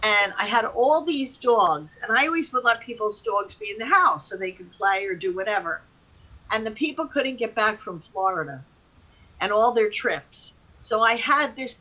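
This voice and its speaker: A middle-aged woman with a forceful, bright voice pitched a little high. She tells personal anecdotes in loose, everyday language, with exclamations thrown in as she goes. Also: lively intonation, normal speed, lo-fi sound. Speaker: talk show participant. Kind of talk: casual personal storytelling.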